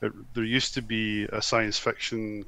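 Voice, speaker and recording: A man's voice: on the low side, level tone, measured pace, pushed and bright, dry recording. A man with a slight Glasgow accent from Scotland, a convention organiser chatting at length in an interview, with lots of ums. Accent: Scottish, slight Glasgow. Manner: talks at length with frequent ums and restarts. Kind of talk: casual podcast interview.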